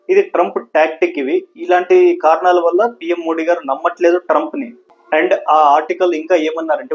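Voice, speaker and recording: A man hosts a news explainer in a fairly high voice. He lays out points in an explanatory way, dropping English words into his sentences. The audio sounds a little dull and band-limited. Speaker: host of a news explainer channel. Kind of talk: news commentary explainer to camera.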